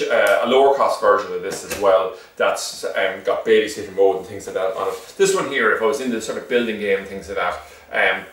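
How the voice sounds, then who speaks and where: Irish male shop presenter, low pitch, rapid informal demo delivery, slightly rambling, distant echoey room recording. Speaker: shop seller presenting product reviews. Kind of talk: informal unscripted product demonstration.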